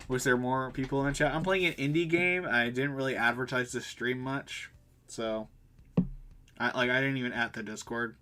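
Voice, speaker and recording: A man's voice: mid-range voice, steady tone, moderate pace, pushed and bright, slight background noise. A man streaming a game and chatting casually in an informal, self-deprecating way. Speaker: livestreamer. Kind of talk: casual gaming livestream, reading dialogue aloud.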